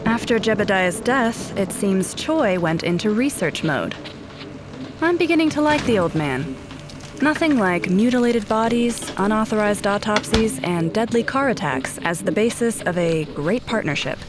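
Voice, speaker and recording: An actress playing a guarded, haunted police officer in a scripted audio drama, speaking in terse, brooding, deliberate phrases. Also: female voice, medium pitch, animated, natural voice. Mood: brooding, determined. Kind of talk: scripted audio drama acting with first-person narration. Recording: voice over prominent music bed. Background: dramatic music.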